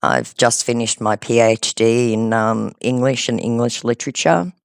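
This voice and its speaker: A man's voice: medium pitch, fairly even intonation, normal speed, creaky, smooth, no echo, clean studio sound. A man chatting casually on a call, explaining things in a conversational, talkative way. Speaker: scambaiter on a call with a scammer. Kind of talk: casual phone chat.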